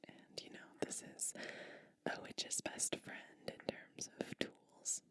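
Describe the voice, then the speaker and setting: Woman, ASMR roleplay, gentle nurturing witch, whispered, sharp breathy edge, soothing, unhurried. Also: no room sound. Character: kind, nurturing cottage witch. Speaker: ASMR performer playing a witch.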